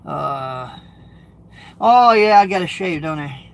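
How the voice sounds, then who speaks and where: A man's voice: animated, takes it slowly. A middle-aged American man, a truck driver, chatting casually to his viewers from his truck, rambling and restarting sentences as he reads comments. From United States. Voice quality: high-pitched, gravelly. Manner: rambling, repeats words, frequent restarts. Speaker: truck driver and video host.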